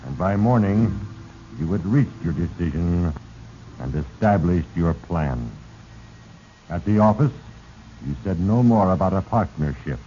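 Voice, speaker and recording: Sinister, slow-spoken Fate. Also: male voice, sits low, lively intonation, warm tone. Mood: grave, ominous. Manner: grave, portentous, accusing second-person address. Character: sinister, heavy-handed male Fate narrating men's doom. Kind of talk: 1940s radio drama narration. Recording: dull, noisy 1940s radio recording.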